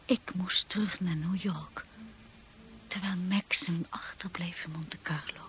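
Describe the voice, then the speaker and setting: An actress playing a wistful woman who narrates memories of first love in a radio drama, intimate and measured. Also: fairly low pitch, fairly even intonation, a bit bright. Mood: melancholy.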